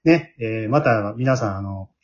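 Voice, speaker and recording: A man with a Kansai Japanese accent hosts a relaxed group talk. His pitch moves around in an animated way and he chuckles as he steers the others along. The sound is dull and lo-fi. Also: unhurried. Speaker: host of a podcast aftertalk. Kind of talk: casual group chat, moderating. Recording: muffled lo-fi sound.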